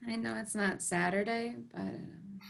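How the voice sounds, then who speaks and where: A woman acting in a table read as a weak, dying young woman, tender and pleading, in a faint, halting delivery. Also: on the high side, fairly even intonation, vocal fry.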